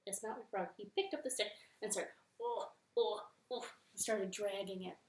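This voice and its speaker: A woman library storyteller reading a children's story at home, animated, with playful asides to her listeners. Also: mid-range voice, normal speed, reverberant. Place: living room at home.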